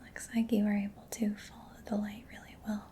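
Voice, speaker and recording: Whispering woman, soft and mellow ASMR. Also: mid-range voice, monotone, a little fast. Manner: gentle, reassuring, attentive. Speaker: ASMR performer. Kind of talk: soft-spoken ASMR personal-attention roleplay. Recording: faint background noise.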